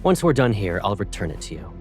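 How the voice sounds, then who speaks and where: A young space-fleet colonel with a very low voice. He is cold and controlled, giving orders with measured, quiet menace in a dramatic game style. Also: big pitch swings, over music. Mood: stern, controlled. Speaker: voice actor in a game cutscene.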